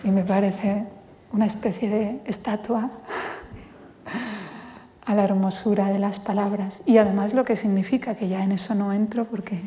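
A woman from Spain with a Spanish accent, giving a thoughtful, measured talk as a poet and lingering on her words. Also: medium pitch, mellow. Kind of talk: reflective lecture with poetry reading. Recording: long reverb from a hall.

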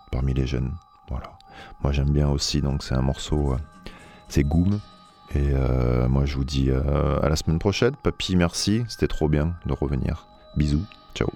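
French guy on the radio, super deep dark voice, lots of ums, faint music behind. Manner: hesitant, many filler sounds. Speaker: radio music show host. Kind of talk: casual radio presenting.

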